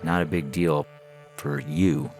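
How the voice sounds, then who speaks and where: A man's voice: very deep voice, fairly even intonation, slow pace, gravelly, full character voice. A man voicing a weary, empathetic alien data technician who explains defensively in a science fiction story, over music. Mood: sad. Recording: music under the voice.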